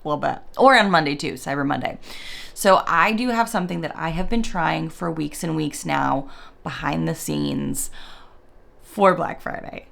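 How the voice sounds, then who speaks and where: Raspy, low-voiced woman chatting casually. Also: moderate pace, fairly bright tone. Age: 40s. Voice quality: raspy morning voice. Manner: rambling, chatty, self-interrupting. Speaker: beauty vlogger. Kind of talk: casual chatty product review. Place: home beauty room.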